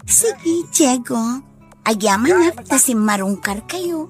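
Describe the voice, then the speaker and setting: A woman playing a gentle, affectionate young sweetheart in a radio drama, with an Ilocano accent. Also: sits high, lively intonation, takes it slowly, exaggerated voice. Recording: radio drama with music underneath.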